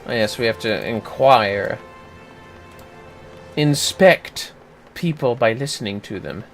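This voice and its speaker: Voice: medium pitch, animated, slow, creaky, soft background music. A game streamer chatting casually on a livestream with playful, sweary banter. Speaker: streamer, host. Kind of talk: casual game livestream chat with role-play reading.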